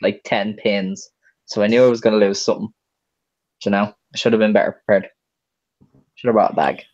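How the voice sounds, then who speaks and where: A man's voice: fairly low pitch, little pitch movement, a little fast, darkish tone, no echo, muffled recording. A man with a Dublin accent co-hosting a podcast in casual, sweary, self-mocking chat. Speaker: podcast co-host.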